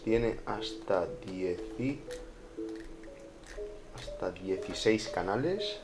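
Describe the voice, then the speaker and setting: A man with an accent from Spain narrating a casual unboxing review in an informal way, pausing and repeating himself. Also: low voice. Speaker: video reviewer. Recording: music behind the voice. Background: background music.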